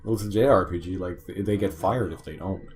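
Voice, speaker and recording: A man's voice: sits low, quick pace, darkish tone, slight background noise. A man giving casual, joking commentary on a video game as a let's play commentator.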